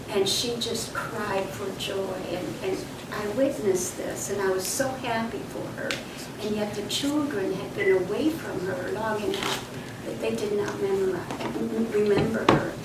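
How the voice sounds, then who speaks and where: An older woman recalls her past in a slow, reflective way, pausing on fillers and starting sentences over. She is heard from some distance in a roomy space. Loud hiss sits under her voice. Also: medium pitch. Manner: reflective storytelling with fillers and restarts. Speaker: interviewee. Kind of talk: oral history interview.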